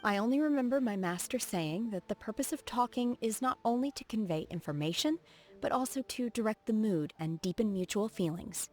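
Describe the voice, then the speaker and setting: A woman voicing a reserved, earnest, socially awkward young female martial disciple in a video game, speaking in a formal, measured way. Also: medium pitch, expressive, a little mellow, close to an everyday voice. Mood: reflective. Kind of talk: video game character voice acting. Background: background music.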